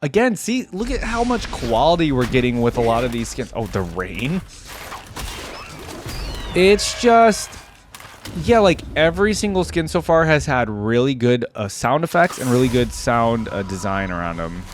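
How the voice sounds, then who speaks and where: A man's voice: mid-range voice, very animated, normal speed, a little hiss or hum. A man giving casual reaction commentary on video game skins, rambling and interjecting as he thinks aloud.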